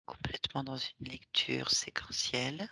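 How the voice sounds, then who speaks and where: A woman hypnotherapist guiding a session, asking short patient questions softly, often in a whisper. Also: very deep voice, wildly swooping pitch, unhurried, creaky, breathy edge, no room sound.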